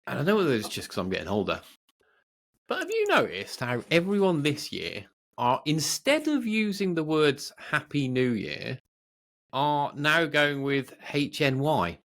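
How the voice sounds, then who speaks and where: Animated British man chatting casually. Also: fairly high pitch, moderate pace. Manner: animated, rambling, self-deprecating. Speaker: podcast co-host. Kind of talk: casual chat.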